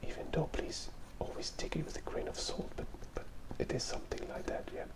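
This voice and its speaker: Casting: ASMR drawing host, fully whispered and breathy, casual, hesitant, thinking aloud, close on the mic. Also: fairly quick. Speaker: channel host, artist. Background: drawing tablet sounds.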